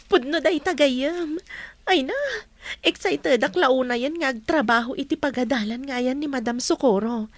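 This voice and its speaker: A woman plays a cheerful young graduate in a radio drama. Her voice is high and recorded close and dry, full of eagerness. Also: moderate pace, no echo, lightly acted. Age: young adult. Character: cheerful, excited young female aspiring teacher and loyal friend. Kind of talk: Ilocano radio drama acting.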